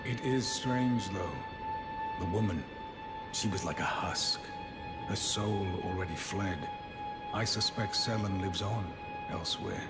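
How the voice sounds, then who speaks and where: A man playing a grave, honourable warrior in a fantasy game, speaking in a deliberate, courtly way. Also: sits low. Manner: deliberate, courtly, drops into breathy near-whispers. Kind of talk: dramatic fantasy game acting. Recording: voice under loud background music.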